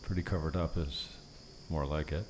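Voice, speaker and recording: Male host, very deep and relaxed, off-the-cuff chat, slight open-window background noise. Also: normal speed. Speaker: podcast host. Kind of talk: casual unscripted chat.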